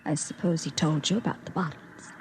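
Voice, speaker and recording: A woman's voice: low voice, steady tone, brisk, a little mellow, slight background noise. An actress playing a lonely, bitter, secretive young woman in a 1950s radio drama, with a world-weary, intense delivery. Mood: bitter, wary.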